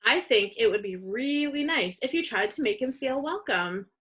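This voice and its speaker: A woman playing a caring, practical mother of young children in a storybook, coaxing and gently firm. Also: on the high side, lively intonation, normal speed, slight background noise, voice pushed up.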